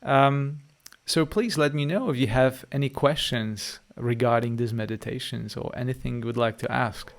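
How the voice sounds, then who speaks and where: A man with a Polish accent and a heavily gravelly, creaky voice, a meditation teacher talking straight to his listeners. He speaks in short phrases at a middle pitch, Polish being his first language. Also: moderate pace, hardly any pauses. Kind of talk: guided meditation and live-stream chat.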